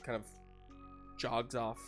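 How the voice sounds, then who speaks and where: A man running a tabletop game, improvising narration in a halting, conversational and encouraging way. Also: mid-range voice, level tone, soft background music. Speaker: game master.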